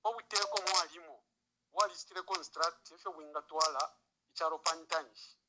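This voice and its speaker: A Zambian male caller gives his views off the cuff. His voice is a little high, and clear noise runs through the phone recording. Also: brisk. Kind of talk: spontaneous political commentary.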